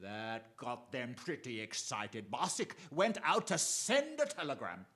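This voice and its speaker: An actor playing a deferential police inspector posing as a butler in a radio drama, speaking hesitantly and eagerly. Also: male voice, mid-range voice, very animated, a little slow, bright, forceful tone. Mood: eager reporting. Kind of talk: theatrical radio drama acting.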